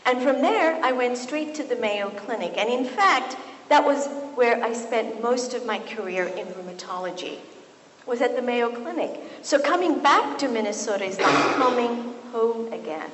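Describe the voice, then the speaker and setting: A middle-aged woman from Kenya, a physician giving a lecture to an audience in a university hall, telling stories with lively asides. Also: a little high, normal speed. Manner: warm and storytelling, with lively asides. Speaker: physician and speaker. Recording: echoey hall, faint noise.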